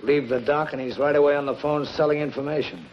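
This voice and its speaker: An actor playing a terse, cold-blooded gangster on the run in a hard-boiled 1950s television crime drama. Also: male voice, fairly high pitch, fairly even intonation, fast, natural voice. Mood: cold, ruthless. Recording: muffled, hissy 1950s TV soundtrack.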